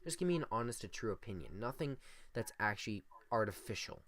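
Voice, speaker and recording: A young man with a deep, monotone voice co-hosting a casual improvised podcast and rambling through his points on a desktop USB mic. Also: quick pace. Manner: rambling, filler-heavy. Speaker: podcast co-host.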